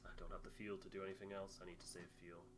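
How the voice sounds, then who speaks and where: A man's voice: low-pitched, little pitch movement, rapid-fire, fairly bright tone. A man giving casual playthrough commentary on a video game, joking and chatty, sometimes muttering to himself. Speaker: gaming channel player. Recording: clear music behind the voice.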